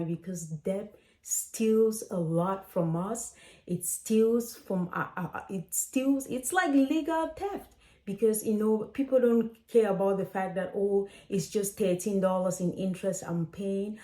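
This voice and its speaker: A woman's voice: medium pitch, warm tone, echoey room. A woman in her late twenties from Cameroon, hosting a casual advice vlog and talking straight to viewers in a chatty, direct way. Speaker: financial coach and host.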